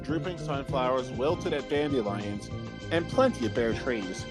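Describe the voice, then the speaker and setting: A man narrating a story aloud in a dramatic, mock-serious way, with theatrical, drawn-out phrasing. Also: medium pitch. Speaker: narrator reading fan fiction aloud with friends. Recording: music behind, deliberately old-fashioned microphone sound.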